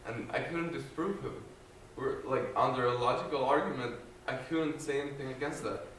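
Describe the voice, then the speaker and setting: An earnest young man from Guatemala gives a personal faith testimony to a group, pausing often, restarting sentences and filling gaps with ums. He speaks without a mic, so his voice sounds distant. The room is echoey and has faint ambient noise behind him. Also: medium pitch, a bit bright. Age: young adult. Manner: hesitant, earnest, many fillers and restarts. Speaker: student giving testimony. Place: large room with an audience. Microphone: no mic, distant recorder.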